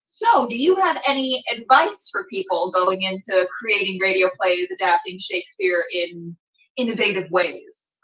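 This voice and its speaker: A woman's voice: mid-range voice, lively intonation, normal speed, fairly bright tone, recorded from a distance, rough recording. A woman hosting an interview, chatting informally and effusively with a joking touch. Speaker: podcast host and interviewer. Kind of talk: casual interview chat.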